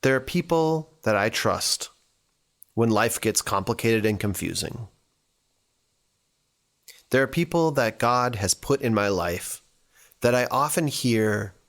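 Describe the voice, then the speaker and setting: A man reading scripture and reflecting on it as a church devotional, speaking earnestly in deliberate, short phrases. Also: medium pitch. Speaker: church staff member giving a devotional.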